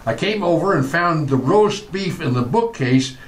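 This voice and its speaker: Man playing a wry, animated lawyer pal. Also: a little high, moderate pace, close to an everyday voice. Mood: teasing. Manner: wry, teasing, reasoning. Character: wry lawyer neighbour, amused friend of the father. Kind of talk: old-time radio comedy acting.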